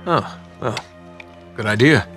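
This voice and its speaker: An actor playing an easygoing, wisecracking adventurer in a video game, casual and dry. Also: male voice, medium pitch, slow, sharp tone, soft background music. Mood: dry, exasperated. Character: easygoing, wisecracking male adventurer, confident improviser. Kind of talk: video game cutscene acting.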